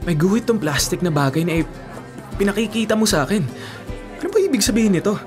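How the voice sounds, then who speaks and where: An actor with a Filipino accent playing a devoted, soft-hearted young husband in a radio drama, speaking earnestly and gently. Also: male voice, sits high, big pitch swings, fast. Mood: puzzled. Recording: music bed behind dialogue.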